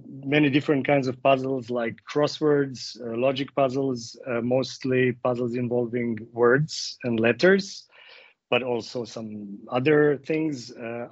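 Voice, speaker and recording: A man's voice: medium pitch, fairly even intonation, measured pace, no room sound. A middle-aged man from Serbia, explaining conversationally as a guest, with hesitant phrasing. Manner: hesitant, frequent fillers and self-corrections. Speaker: interview guest, puzzle author. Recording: dry, slightly dull lo-fi audio.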